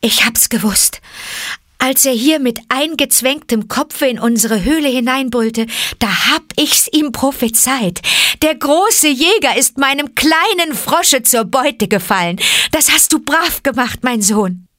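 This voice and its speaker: A woman's voice: sits high, animated, unhurried, fairly bright tone, no room sound, studio quality, pitched above the performer's own voice. A woman voicing a proud, fierce mother wolf in a storybook, speaking emphatically and fondly to her cub. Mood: proud, triumphant.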